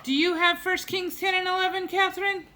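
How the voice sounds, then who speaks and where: Bright-voiced mother coaxing her child. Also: very high voice, little pitch movement, brisk, a little hiss or hum. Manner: gentle questioning, coaxing a child. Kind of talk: chatting with her children before a family reading.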